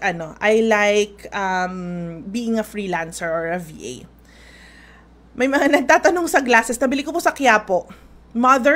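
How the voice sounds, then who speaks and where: A woman's voice: medium pitch, a bit bright. A woman in her late thirties with a Filipino accent, hosting an informal webinar in a chatty, conversational way. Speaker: host, webinar instructor. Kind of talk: informal webinar talk, mixing Tagalog and English.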